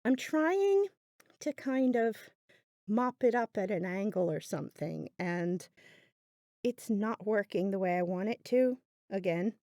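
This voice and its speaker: A woman's voice: medium pitch, expressive, measured pace, warm tone, dry recording, clean studio sound. A woman giving a casual craft tutorial voiceover, chatty and thinking aloud as she goes. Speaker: craft channel host.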